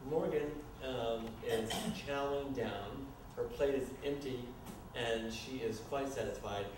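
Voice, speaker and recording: Male narrator, medium pitch, informal, halting delivery, stage directions, clearly noisy recording. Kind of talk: reading and improvising stage directions live. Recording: echoey, noisy room from far off.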